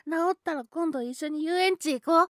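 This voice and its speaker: A woman's voice: high-pitched, moderate pace, fairly bright tone, no echo, clean studio sound. A woman voicing a cheeky little boy with a cold in an audio drama, coughing and pleading childishly as he clings to his big brother. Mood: eager. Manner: pleading, sulky childish talk with frequent coughing. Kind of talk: Japanese situation voice drama.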